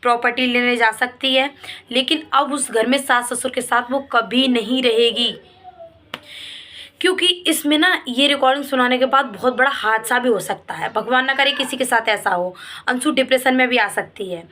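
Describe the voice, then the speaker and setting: A woman's voice: a little high, normal speed, sharp tone. A woman vlogger giving opinion commentary straight to her viewers, in an emphatic, reproachful way that leans on repeated points.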